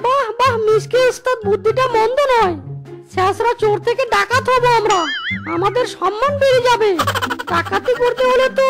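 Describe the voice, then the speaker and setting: An actor voicing a small, eager, nervous male sidekick thief in a cartoon, excitable and deferential to his boss. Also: very high-pitched, normal speed. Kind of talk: cartoon voice acting. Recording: loud music under the voice.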